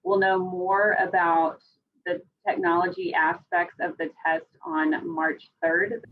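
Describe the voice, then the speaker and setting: A woman on the school staff explaining testing procedures in an interview, with frequent pauses and "um"s. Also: lowish voice, steady tone, narrow, tinny audio, a little hiss or hum. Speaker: school test coordinator.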